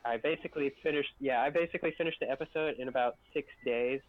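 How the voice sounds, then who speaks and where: A man's voice: mid-range voice, quick pace. Man chatting casually, muffled call audio. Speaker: video editor and voice actor. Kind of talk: casual chat on a group livestream.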